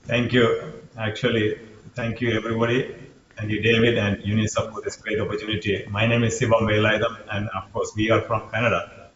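A man in his forties from Sri Lanka speaks as a guest over a video call from a home room. His low voice stays flat, and he talks modestly and haltingly. The sound is roomy, distant and dull, with faint noise behind. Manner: modest, hesitant with fillers. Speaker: guest, men's charity founder.

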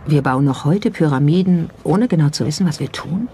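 A narrator reading a scripted documentary in a measured storytelling way, often posing rhetorical questions. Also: mid-range voice, expressive, mellow. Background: background music.

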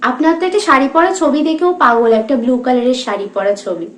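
Persistent high-voiced young woman, West Bengal accent. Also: expressive, quick pace, echoey room. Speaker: prank caller, vlogger. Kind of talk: staged phone prank conversation.

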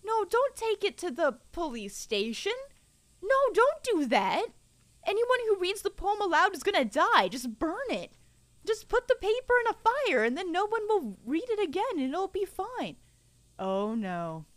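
A woman's voice: very high voice, sing-song, moderate pace, a bit bright, no echo. A young woman chatting casually on a livestream as she plays a horror game at home, speaking into a close mic. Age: young adult. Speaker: game streamer. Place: small room at home, indoors. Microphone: boom-arm microphone close to the mouth, with headset.

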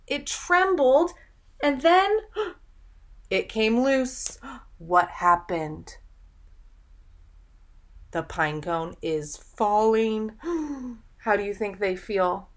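A young adult woman reading a children's picture book aloud in an expressive, teacherly way, pausing to ask simple questions. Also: fairly high pitch. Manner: animated storytelling, deliberate enunciation, audible gasps. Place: small furnished room at home, indoors.

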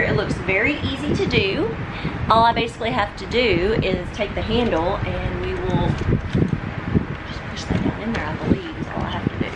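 A middle-aged woman, casually narrating a home vlog in an informal, halting, think-aloud way. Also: fairly high pitch, very noisy. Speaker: home vlogger. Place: living room of a home, indoors. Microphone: camera mic on a tripod nearby.

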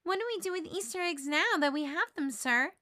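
A woman's voice: very high-pitched, expressive, brisk, sharp tone, dry recording, clean studio sound, pitched above the performer's own voice, full character voice. A woman voicing an earnest, naive schoolgirl in a storybook, deferential and matter-of-fact. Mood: innocent.

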